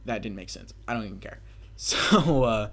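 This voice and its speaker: A man's voice: fairly low pitch, animated, moderate pace, a bit bright. Crude, bragging young man telling stories. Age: young adult. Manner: crude, swearing, self-mocking bravado. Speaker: gaming video commentator. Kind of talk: casual rambling party storytelling over gameplay.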